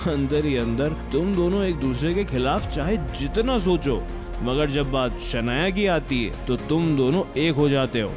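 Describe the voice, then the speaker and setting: A man's voice: a little high, animated, unhurried. A man acting an earnest, emotional young husband in an audio drama. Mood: defiant, accusing. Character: drunk, devoted young husband, earnest and defiant. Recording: music under the voice.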